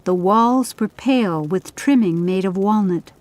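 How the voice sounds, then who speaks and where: A woman's voice: medium pitch, measured pace, a little mellow, dry recording. A woman reading scripted audiobook narration of a story.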